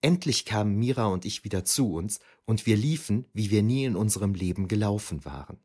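An actor playing a shaken eyewitness, narrating an audio drama in the first person with deliberate, suspenseful emphasis. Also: male voice, on the low side, animated, darkish tone, slightly rough. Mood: grave, tense. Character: shaken eyewitness recounting an eerie forest battle.

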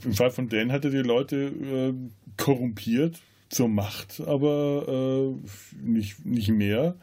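A man co-hosting a podcast, casually discussing film in a halting, searching way. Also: mid-range voice, fairly even intonation, dark tone. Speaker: podcast co-host.

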